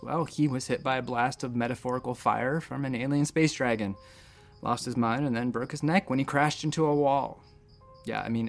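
A man's voice: medium pitch, gravelly, lightly acted. A man playing an anxious, wry space station doctor in a sci-fi audio drama, with a stammering, nervy manner.

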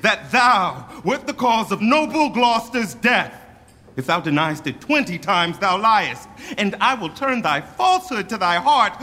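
A man's voice: sits high, sharp tone, in a large hall, full character voice. An actor playing a hot-headed, contemptuous nobleman in a Shakespearean radio drama, declaiming with taunting emphasis.

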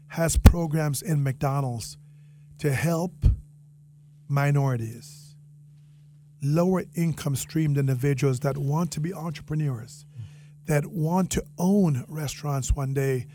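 A man with a Jamaican accent and a fairly high-set voice answers questions as an interview guest. He is earnest and conversational, restating phrases to stress them. A faint hum and noise sit under the recording. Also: unhurried, warm tone.

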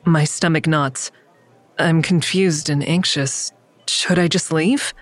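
Earnest, anxious counselor, lowish voice. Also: female voice, fairly even intonation, takes it slowly, no echo, soft background music. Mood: anxious narration. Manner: hesitant, earnest, introspective. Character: anxious, earnest female crisis counselor narrating her own story. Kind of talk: first-person audio drama narration and dialogue.

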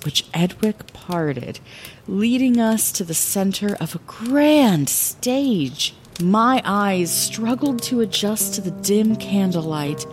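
Actress as wry lighthouse keeper narrator, slow-paced, expressive fantasy audio-drama storytelling. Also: female voice, on the low side. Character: wry lighthouse keeper retelling her adventurous past. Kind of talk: scripted first-person fantasy storytelling.

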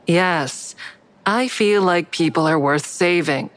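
An actress playing a guarded, sardonic woman in an audio drama, dry and wry in dialogue and first-person narration. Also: lowish voice. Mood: wary. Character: guarded, sardonic woman, crisis counselor with secret kills.

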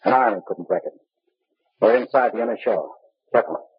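Smooth calculating conspirator played by a man, muffled old radio. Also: on the low side, noisy background, close to an everyday voice. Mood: reassuring. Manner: measured, polite, assured. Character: smooth, calculating male conspirator posing as a helpful friend. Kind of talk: old-time radio drama acting.

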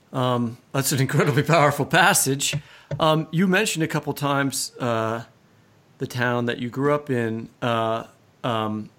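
An American man interviewing as a podcast host, conversational, with halting, hesitant phrasing. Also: medium pitch, fairly bright tone.

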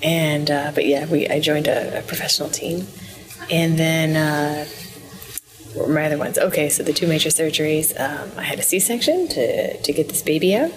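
Brief: woman from the Midwest, low-pitched, relaxed and matter-of-fact. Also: normal speed, far from the mic. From Wisconsin, US Midwest. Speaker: guest, new mother. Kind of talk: casual interview chat.

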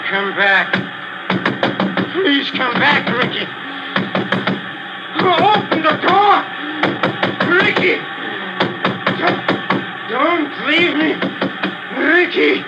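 A man's voice: extremely high pitch, full character voice. An actor playing a desperate, abandoned man who pleads and screams for help, in a 1940s radio drama. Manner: frantic, pleading, rising to screams. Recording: dull old radio broadcast with loud music.

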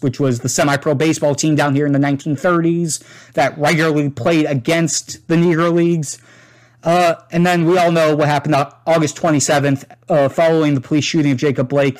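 Informative male podcast host. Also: a little high. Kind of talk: scripted podcast commentary.

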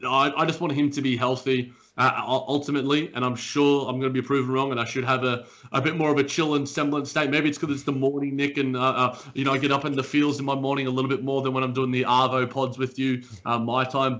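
Podcast co-host, man from Australia, bright edgy voice, rambling and animated, a bit raspy, lo-fi remote line. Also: medium pitch, fast. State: slightly raspy morning voice. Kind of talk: casual sports podcast chat. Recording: dull lo-fi remote audio.

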